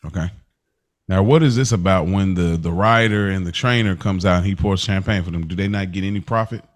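A man's voice: deep voice, brisk. A man hosting a podcast, chatting casually about a TV episode in a thoughtful, roundabout way. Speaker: podcast host. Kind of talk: casual TV recap chat.

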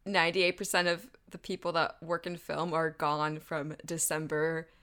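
A woman's voice: sits low, level tone, normal speed, a bit bright. A woman co-hosting a podcast, chatting in a playful, giggly way. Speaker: podcast co-host. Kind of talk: casual podcast chat.

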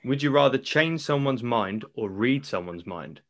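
A man's voice: mid-range voice, animated, moderate pace, fairly bright tone. A British man hosting a podcast interview, with a playful, teasing way of talking. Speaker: podcast host. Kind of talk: casual interview chat.

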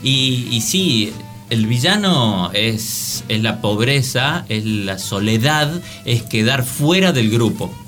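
A man's voice: mid-range voice, animated, slow pace, fairly bright tone. A man with an Argentine accent musing casually in a round-table radio chat. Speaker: radio panelist. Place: radio studio. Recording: music bed behind the voice.

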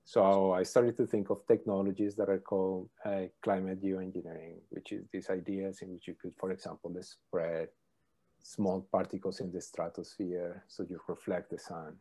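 A middle-aged man, a professor answering interview questions thoughtfully, pausing often with ums. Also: sits low, level tone, dark tone. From Colombia. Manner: thoughtful, hesitant with many ums. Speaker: professor, podcast guest.

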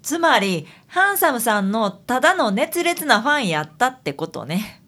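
A frank, inquisitive detective's assistant, acted by a woman, with a Kansai accent. Her voice is fairly high and animated, and she talks casually in radio-comedy style. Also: normal speed. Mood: surprised, frank. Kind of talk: radio comedy drama acting.

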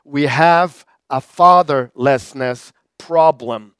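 Male pastor, crisp dry voice. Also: mid-range voice, slow, no room sound. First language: German. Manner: conversational preaching with repetitions and fillers. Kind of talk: sermon.

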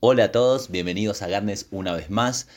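A man who hosts a language podcast, talking as a teacher to learners in a deliberate, explanatory way and pausing to explain words. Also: fairly low pitch. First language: Spanish. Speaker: podcast host and Spanish teacher.

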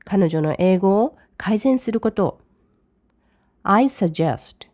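Woman, mellow bilingual teacher. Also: mid-range voice, lively intonation, no room sound, studio quality. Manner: reads English slowly, then translates briskly. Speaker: language teacher. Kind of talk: bilingual lesson commentary.

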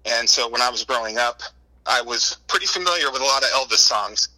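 A man's voice: medium pitch, lively intonation, a little fast, sharp tone, poor audio. A middle-aged man answering as an interview guest, chatting informally and telling anecdotes with lots of repeated words. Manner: wordy, informal, repeats words and says "you know" often. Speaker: interview guest, book co-author.

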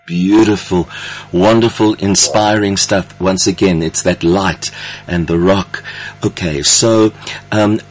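Deep, slow-speaking man, effusive. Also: steady tone, soft background music, poor audio. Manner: effusive, affirming, halting phrasing. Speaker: interview host. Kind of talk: conversational interviewing.

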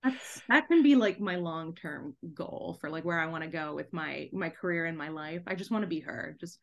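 A woman's voice: deep voice, normal speed, vocal fry. Chatty young woman in casual conversation. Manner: chatty, animated, frequent "like" and laughing asides. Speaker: podcast guest, debut author. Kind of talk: casual chat interview.

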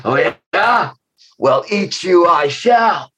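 A man voicing a greedy giant ogress who eats children, speaking slowly and gruffly with a low, menacing voice. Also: lively intonation, fairly bright tone, full character voice.

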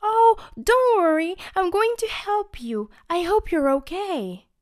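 A tiny toothbrush boy, nervous and pleading, his voice jumping up high and squeaky, as a woman reads him aloud. He sounds anxious and a bit breathless, taking his time over the words. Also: lively intonation, slow, exaggerated voice. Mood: kind.

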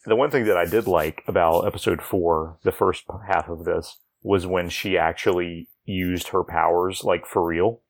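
Creaky low-pitched man chatting. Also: fairly even intonation, moderate pace, few pauses, poor audio. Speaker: podcast host. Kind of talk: casual chat about TV episodes.

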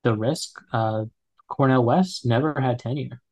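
A man's voice: mid-range voice, unhurried, darkish tone, slightly rough. A man in his twenties, a guest in a casual conversation, talking with many fillers and restarts. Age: 20s. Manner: hesitant, full of fillers and restarts. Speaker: podcast guest.

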